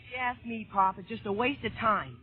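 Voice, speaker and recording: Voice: mid-range voice, a little fast, breathy edge, soft background music. An actor playing a cocky, wisecracking child of vaudeville performers in an old-time radio comedy drama. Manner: brash, quippy, precocious. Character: wisecracking young show-business kid, cocky son of vaudevillians. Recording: muffled old radio broadcast recording.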